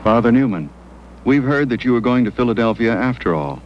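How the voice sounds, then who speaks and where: An actor playing a sober, courteous documentary reporter, delivering scripted narration and interview questions. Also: male voice, medium pitch, animated, brisk, a little hiss or hum, close to an everyday voice, low-quality recording. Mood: sober, inquiring.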